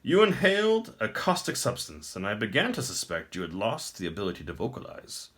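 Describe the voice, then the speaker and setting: A man voicing a gloating, smooth-talking wizard emperor in a storybook, theatrical, grandly formal and mockingly courteous. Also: lowish voice, very animated, brisk, a bit bright, pitched above the performer's own voice. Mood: mocking delight. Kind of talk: audiobook character acting.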